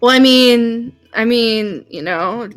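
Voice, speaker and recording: A woman's voice: fairly high pitch, takes it slowly, fairly bright tone, clean tone, soft background music, low-quality recording. A woman co-playing a game stream, chatting casually and gushingly, often repeating herself and saying like. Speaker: co-player voicing lines on a stream. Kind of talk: casual banter and reading game dialogue.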